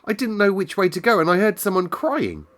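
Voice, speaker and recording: Guy doing a stubborn young girl from a storybook, high voice, earnest, bit hesitant. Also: lively intonation, fairly quick. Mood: flustered.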